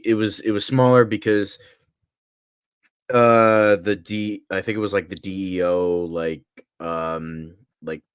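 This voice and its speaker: Low voiced guy cohosting a podcast, casual talk, really dry sound no room echo. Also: clean tone. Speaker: podcast co-host. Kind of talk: casual film and TV discussion.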